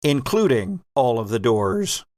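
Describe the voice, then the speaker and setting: A man's voice: mid-range voice, sing-song, measured pace, darkish tone, no echo. A man narrating a tabletop roleplaying game as game master, improvising in a conversational way and addressing the players directly.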